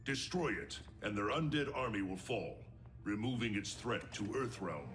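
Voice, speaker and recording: An actor playing a grim, solemn thunder god in a video game, speaking in a grave, formal, declamatory way. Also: male voice, fairly low pitch, expressive, normal speed, a bit bright, soft background music. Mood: grave, resolute. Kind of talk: dramatic video game acting.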